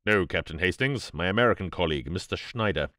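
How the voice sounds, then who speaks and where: A man playing a grave, low-voiced British archaeologist. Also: lively intonation, fast, pushed and bright, dry recording, studio quality. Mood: grave, apologetic. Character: grave, steadfast grey-bearded British archaeologist, courteous.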